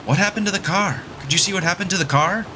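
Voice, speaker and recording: A male actor plays a wry, fatalistic man of science in an audio drama. His voice sits a little high, with dry wit in the delivery. Rain and noise are clearly heard behind him. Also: quick pace, natural voice. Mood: anxious.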